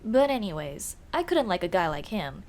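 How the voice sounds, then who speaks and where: A woman playing a young tomboy childhood friend in an ASMR roleplay, speaking in casual boyish slang with hesitant pauses. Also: mid-range voice, big pitch swings, a little mellow, natural voice. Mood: scornful, blunt. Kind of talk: ASMR roleplay, intimate one-sided dialogue.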